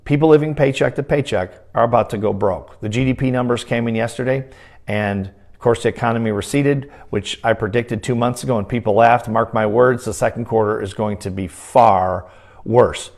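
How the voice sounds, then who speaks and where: Grave man with a gravelly, creaky voice. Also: medium pitch, normal speed, a little mellow. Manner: grave, emphatic warning tone. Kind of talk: urgent economic commentary to camera.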